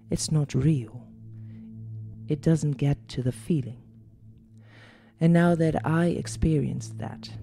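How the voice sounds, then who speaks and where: A woman with a Dutch accent, a coach and podcast host, speaking in a calm, careful, reflective solo monologue. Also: low voice, gravelly. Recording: soft music underneath.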